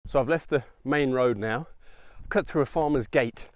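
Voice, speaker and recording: A man's voice: medium pitch, moderate pace, dry recording, clean studio sound. A man in his thirties giving casual, informal commentary as he hosts a hiking vlog, with wry asides. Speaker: host of a history hiking vlog. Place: outdoors in open countryside on a hiking trail. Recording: outdoor noise, open-air ambience.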